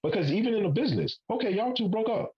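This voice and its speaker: A man's voice: high-pitched, lively intonation, fast talker, dull audio. A man in his forties hosting a podcast panel, making his point emphatically and swearing casually. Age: 40s. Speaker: podcast host.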